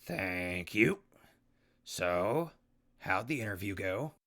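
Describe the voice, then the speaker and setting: A man's voice: sits low, lively intonation, slow, fairly bright tone, exaggerated voice. A man playing a brusque, wry ghoul wastelander in an audio drama, talking in an offhand way.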